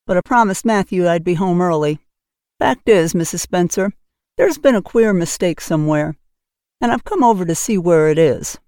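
A woman voicing a stern, practical middle-aged spinster who talks in a brisk, plain, matter-of-fact way. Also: on the low side, a little mellow, no room sound, studio quality, close to an everyday voice.

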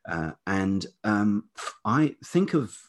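A man's voice: lowish voice, big pitch swings, takes it slowly. A man in his fifties reflecting on his life as an interview guest, speaking hesitantly and thoughtfully in a velvety voice. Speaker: interview guest, writer and producer. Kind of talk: reflective autobiographical interview chat.

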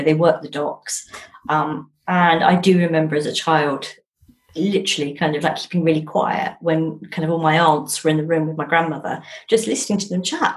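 A woman chatting casually as an interview guest, telling anecdotes in an animated way. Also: low-pitched. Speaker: interviewed novelist. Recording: echoey, roomy sound.

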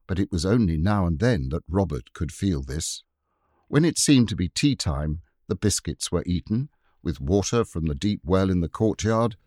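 A man narrating a classic children's book, his voice sitting low but moving a lot in pitch. The sound is dry and close with no room to it. He tells the story in an expressive, measured way. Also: no echo. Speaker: audiobook reader. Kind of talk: scripted narration.

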